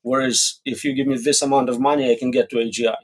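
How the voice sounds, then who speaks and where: A man's voice: medium pitch, steady tone, fast talker. Man explaining plainly as an interview guest. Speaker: interview guest, AI safety researcher. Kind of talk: conversational interview answers.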